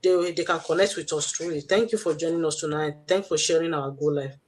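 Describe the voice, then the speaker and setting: A woman's voice: sits low, fairly even intonation, a little fast. Nigerian lady giving a motivational talk on a livestream, repeats herself, urging people on. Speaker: host, online business mentor.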